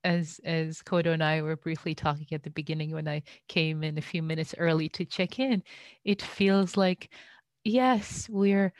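A woman's voice: low-pitched, fairly even intonation, normal speed, no room sound. A woman born and raised in Iran, a Buddhist teacher giving a dharma talk online, speaking hesitantly with many fillers and restarts. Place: home, online session.

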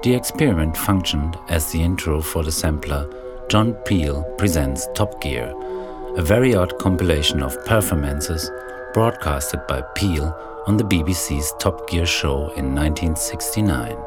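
A man hosting a radio music show, giving calm, measured scripted narration between tracks. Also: very deep voice. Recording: music playing under the voice.

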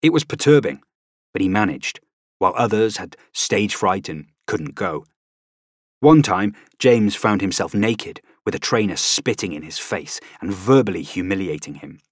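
A man's voice: sits low, expressive, moderate pace, husky, no echo, clean studio sound. A man reading scripted nonfiction as an audiobook narrator, with a dramatic, emphatic delivery.

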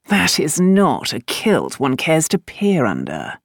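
Languid idle nobleman, voiced by a female narrator, storybook, wry and unhurried, close dry recording. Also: sits low, wildly swooping pitch, no room sound, full character voice. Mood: amused. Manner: droll, unhurried, faintly amused. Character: dry, languid, teasing aristocratic man, penniless idler.

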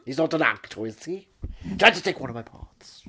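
A Welsh man trading absurd jokes and deadpan boasts in comic banter. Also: medium pitch, a little fast, slight background noise. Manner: playful, deadpan boasting, silly wordplay.

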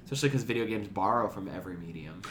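Fast-talking man with a lowish voice. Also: animated, a bit bright, slight background noise. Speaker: podcast co-host. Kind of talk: casual chat.

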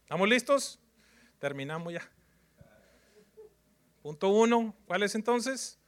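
A man's voice: high voice, expressive, takes it slowly. A man preaching a sermon in numbered points, emphatic and repetitive, often putting questions to his congregation.

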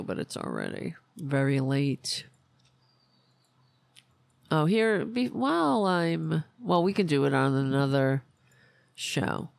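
A hoarse woman hosts a political podcast, speaking slowly with a gravelly, creaky edge to her voice. She rambles, swears and loses her train of thought. The sound is dry and close. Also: lowish voice, dry recording. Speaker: podcast host. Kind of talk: casual political rant.

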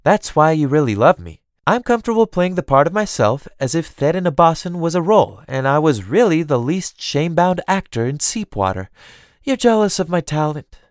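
A languid young noblewoman from a storybook, as a male narrator puts her on. She drawls and teases with theatrical self-regard. Her pitch is raised and very animated, in a close, dry recording. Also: moderate pace, dry recording. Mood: teasing, self-assured. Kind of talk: audiobook character dialogue.

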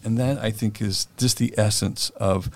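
A man's voice: lowish voice, steady tone, moderate pace. A man speaking as an author and guest on a podcast, talking in an earnest, reflective way with frequent fillers. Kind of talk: conversational interview.

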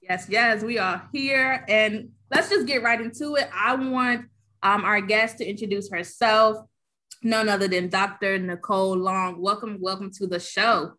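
A woman's voice: mid-range voice, unhurried, bright, forceful tone. A woman co-hosting a podcast, upbeat and conversational as she introduces the episode and asks questions. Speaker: podcast co-host.

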